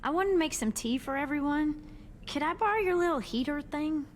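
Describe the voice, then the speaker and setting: Higher-pitched kindly teenage girl. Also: lively intonation, fairly quick, slight background noise. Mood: hopeful, friendly. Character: kindly, upbeat, slightly awkward teenage girl survivor. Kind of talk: cinematic video game voice acting.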